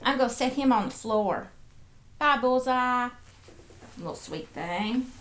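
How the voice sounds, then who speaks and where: A woman's voice: on the high side, expressive, unhurried, fairly bright tone, slight background noise. A woman hosting a casual show-and-tell, chatting playfully and cooing as if to little toys and viewers. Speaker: host of a toy show-and-tell video.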